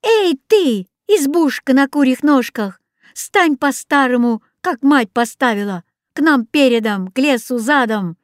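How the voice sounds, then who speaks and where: A woman voicing an eager, boastful little boy in a children's story, excitable and quick to call out. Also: high voice, no echo, studio quality, pitched above the performer's own voice. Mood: triumphant. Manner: excitable, calls out loudly.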